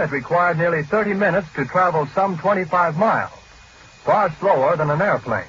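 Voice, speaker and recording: A man's voice: fairly high pitch, fairly bright tone. A man reading a scripted 1940s radio news report in a formal, factual manner. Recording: muffled, noisy 1940s radio recording.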